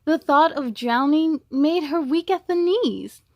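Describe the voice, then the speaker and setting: A woman reading a children's book aloud in an expressive, encouraging way, with chatty asides to young listeners. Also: high voice, measured pace, no echo, lo-fi sound.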